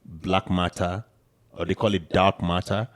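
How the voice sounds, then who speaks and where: A man's voice: sits low, flat delivery, measured pace. A man born in Canada co-hosting a casual discussion, explaining history in a lecturing way with emphatic rises.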